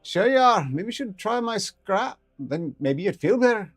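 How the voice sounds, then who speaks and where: A man's voice: high-pitched, sing-song, moderate pace, dry recording, pitched above the performer's own voice, exaggerated voice. A man voicing a foul-mouthed, fiercely loyal female talking ferret. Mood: gruff, defensive. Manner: brash, loud, slangy, swears.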